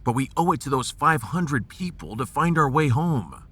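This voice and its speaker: A man playing a gruff, folksy American starship captain in a sci-fi audio drama, resolute and commanding with homespun slang. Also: mid-range voice, very animated, moderate pace, a bit bright. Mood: grave, resolute.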